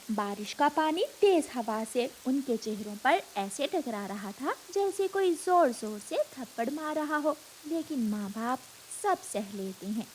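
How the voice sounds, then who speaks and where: A woman's voice: a little high, a little hiss or hum, rough recording. A woman reading scripted narration for a children's cartoon story.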